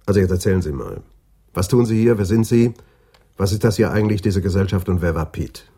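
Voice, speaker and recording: An actor playing a direct, pressing police investigator in a German radio crime drama. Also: male voice, low-pitched, brisk, mellow, breathy edge.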